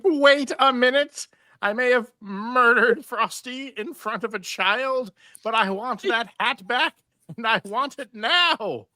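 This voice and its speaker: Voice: medium pitch, slow, bright, forceful tone, dry recording. A performer voicing a scheming, nasty old male magician in a hammy, taunting cartoon table read. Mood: menacing.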